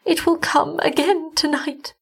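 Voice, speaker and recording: A woman's voice: high voice, fairly even intonation, unhurried, vocal fry, voice pushed up. A woman voicing a frail, frightened young wife in a weak, pleading murmur for a gothic story.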